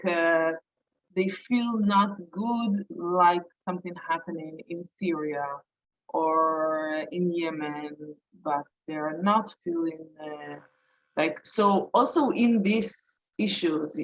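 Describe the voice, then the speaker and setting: Slow, low-voiced woman answering questions. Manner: hesitant, many fillers and restarts. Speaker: panel speaker. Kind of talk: answering audience questions in a webinar. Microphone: video call. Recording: dull lo-fi video call audio.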